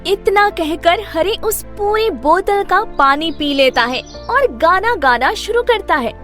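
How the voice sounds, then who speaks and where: A woman's voice: very high voice, animated, a little slow. A woman narrating a scripted children's story as its storyteller. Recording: loud music under the voice.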